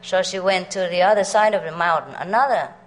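A woman's voice: lowish voice, fairly quick. A middle-aged woman from Vietnam, a spiritual teacher telling stories to a meditation group in a chatty way, often laughing and asking her listeners questions. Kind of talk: storytelling talk to a meditation group.

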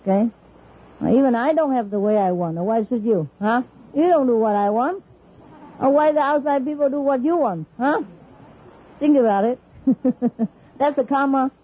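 A woman's voice: sits high, normal speed, long pauses, mellow, breathy edge, dull audio. Chatty Vietnamese-accented woman on old tape. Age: middle-aged. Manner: chatty, laughing often, mixing in Vietnamese. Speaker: spiritual teacher. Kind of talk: informal talk to disciples.

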